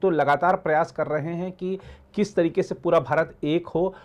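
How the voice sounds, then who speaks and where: A man speaking as an editor and commentator in a political discussion, halting and often repeating words and phrases. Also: a little high, fairly even intonation.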